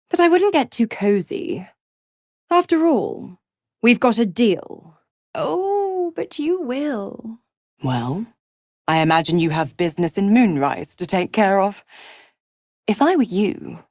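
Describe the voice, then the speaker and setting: A woman's voice: mid-range voice, darkish tone, no room sound, full character voice. A cruel, seductive devil woman from a video game. She takes her time, savouring each taunt with theatrical swoops and sultry dips toward a whisper. The sound is close and dry. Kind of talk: dramatic video game voice acting.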